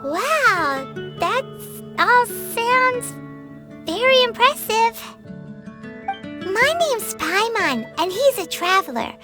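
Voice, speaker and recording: A woman voicing a tiny, perky, childlike floating companion in a video game, squeaky and easily baffled. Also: very animated, drawn-out words, long pauses, exaggerated voice. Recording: music behind the voice.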